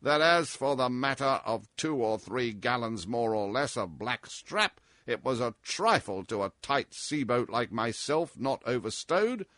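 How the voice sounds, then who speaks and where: A man voicing a boozy, irreverent sailor in a storybook, rambling defiantly in drawn-out nautical talk with mocking chuckles. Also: mid-range voice, big pitch swings, fairly bright tone, no room sound, studio quality. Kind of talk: storybook character voice in an audiobook.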